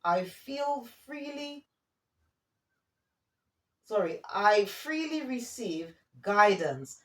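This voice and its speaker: Slow-spoken woman giving a spiritual reading. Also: medium pitch. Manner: hesitant, halting, often checking in with okay and all right. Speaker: oracle card reader and spiritual guide. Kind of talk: spiritual card reading, partly read aloud, partly improvised. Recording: roomy echo, distant from the mic.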